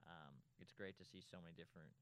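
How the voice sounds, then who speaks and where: A young man with a slightly raspy voice, a guest chatting casually on a podcast and telling stories with easy laughs. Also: deep voice, quick pace, slight background noise. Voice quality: slightly raspy after a festival. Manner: relaxed, laughs easily, storytelling. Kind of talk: casual chat.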